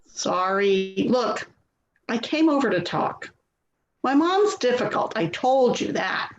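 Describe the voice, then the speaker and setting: In a roomy, slightly muffled recording, an actress plays an anxious grown daughter in a stage drama. She is placating and quick to blame herself, with a highish voice that swings expressively. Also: animated. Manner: placating, hesitant, self-blaming. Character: Louise, anxious self-doubting grown daughter of a difficult mother. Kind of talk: dramatic play reading, naturalistic dialogue. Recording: echoey, dull, noisy remote recording.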